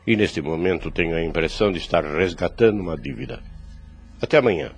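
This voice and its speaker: Deep-voiced, measured Brazilian priest in his fifties. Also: lively intonation, full character voice. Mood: gentle, reassuring. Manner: measured, formal, paternal. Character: calm, shrewd priest in his fifties, kindly but firm. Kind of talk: radio drama acting. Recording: clear background noise.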